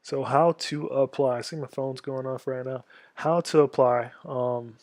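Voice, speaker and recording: A young man in his twenties from Florida talks through a step-by-step tutorial, pausing often with ums and restarts. He sounds stuffed up from a cold, and his delivery stays flat and level. The recording is dry, with no room echo. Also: medium pitch. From Florida, USA. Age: 20s. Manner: halting, many ums and restarts. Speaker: army veteran giving a tutorial. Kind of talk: casual screen-share tutorial.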